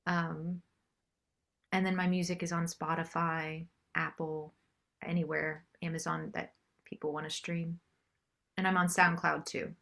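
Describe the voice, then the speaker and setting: A woman's voice: low voice, little pitch movement, unhurried, creaky, pauses often, fairly bright tone. A middle-aged American woman chatting casually as a singer-songwriter being interviewed.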